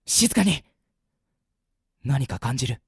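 A woman's voice: a bass voice, sing-song, slow, breathy edge, no echo, studio quality, faint music behind, heavily acted. A woman playing an eccentric, overeager boy in a radio drama, melodramatic and fawning. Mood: intent, serious. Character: eccentric, overeager teenage boy besotted with pretty girls. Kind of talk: Japanese anime-style radio drama acting.

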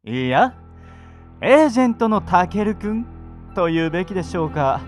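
A man's voice: sits high, sing-song, music in the background. A man playing a masked villain interrogator in a radio drama, drawling with theatrical mock courtesy. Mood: mocking greeting. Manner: drawn-out, mock-courteous delivery. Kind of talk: amateur radio drama acting.

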